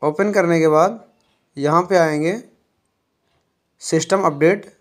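A man calmly walking viewers through a phone reset step by step, often filling pauses with "jo hai". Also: a little high. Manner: unhurried, explanatory, fills pauses with "jo hai". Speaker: tech tutorial presenter.

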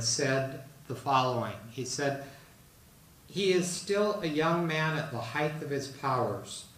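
An older man giving a measured public lecture from a podium, with frequent fillers and restarts. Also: medium pitch, a bit bright. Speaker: guest lecturer. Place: large lecture room with a seated audience. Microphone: podium microphone, recorded by a far camera. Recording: roomy hall with faint noise.

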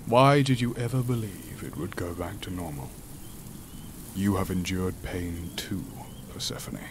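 An actor playing an imprisoned alien monster, gentle and philosophical, speaking in a formal, measured way for an audio drama. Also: male voice, deep voice, noisy background. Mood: grave. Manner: formal, measured, stilted speech.

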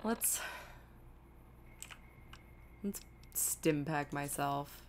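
A woman with a slightly low voice gives casual live commentary on a game she is playing. She thinks aloud, often exasperated and poking fun at herself. There is faint background noise with some keyboard typing. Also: very slow. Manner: self-deprecating, exasperated muttering to herself. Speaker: video game streamer.

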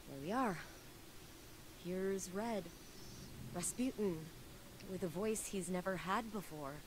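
A woman's voice: on the low side, natural voice. A woman voicing an earnest, reflective game engineer. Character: earnest female engineer, devoted to her AI. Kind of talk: video game cutscene voice acting. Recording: noisy game capture.

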